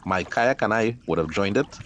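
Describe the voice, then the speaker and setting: A middle-aged man, calmly narrating his own kayak outing in a measured, explanatory voice-over. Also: on the low side, a little hiss or hum. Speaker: kayaker and channel host.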